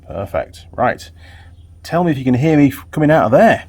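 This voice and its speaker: A man's voice: mid-range voice, sing-song, normal speed, a little mellow. A man talking casually to viewers as he works with his hands, thinking aloud like a mechanic hosting a workshop vlog. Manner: chatty, informal, talking to the viewer while working. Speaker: motorbike mechanic and video host.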